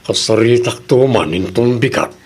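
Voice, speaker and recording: A man playing a blunt buddy who teases and admonishes in an audio drama, with a gravelly, husky voice and an Ilocano accent. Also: mid-range voice, normal speed, crowd noise, lightly acted. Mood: admonishing.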